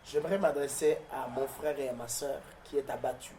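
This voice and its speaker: A pastor from southern Congo-Brazzaville, whose first language is Mbembe, answering interview questions in a declamatory, preacherly way with frequent hesitations. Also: male voice, mid-range voice, steady tone, a little fast, dark tone, a little hiss or hum. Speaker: pastor and guest. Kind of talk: interview answers turning to a prayer.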